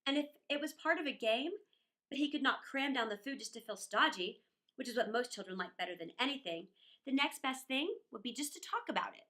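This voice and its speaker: A woman reading a children's story aloud in an expressive, playful, theatrical manner with chatty asides. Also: sits high, fairly quick.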